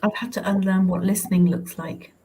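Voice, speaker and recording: A woman speaking reflectively as a panelist, measured and earnest. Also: mid-range voice, steady tone, dark tone. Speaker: podcast panelist.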